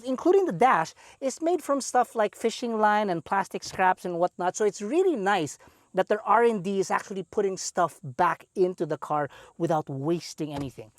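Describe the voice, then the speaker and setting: Filipino-accented male car review host, animated swooping delivery, dry close sound, jokey and chatty. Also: sits high, no echo. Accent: Filipino English. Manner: chatty, playful, enthusiastic asides. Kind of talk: presenting a car review walkaround.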